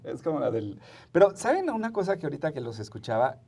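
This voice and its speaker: A man's voice: on the high side, big pitch swings, fast, gravelly, a little mellow, distant mic. A man with a Mexican accent hosting a casual panel chat in a studio, speaking in a colloquial, jokey way. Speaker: program host.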